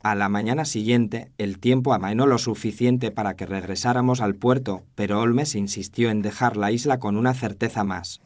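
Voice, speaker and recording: Guy doing a thoughtful doctor telling the story, reflective, lowish voice, radio drama narration. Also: steady tone, normal speed, few pauses, lightly acted. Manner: reflective, literary. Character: thoughtful male doctor narrating his memoir. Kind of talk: scripted narration.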